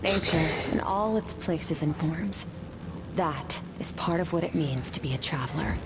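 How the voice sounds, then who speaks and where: A woman voicing a stern, composed master mentor in a video game, speaking in a measured, solemn, instructive way. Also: low-pitched, background noise. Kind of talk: scripted video game dialogue. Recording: game dialogue under music. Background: game music.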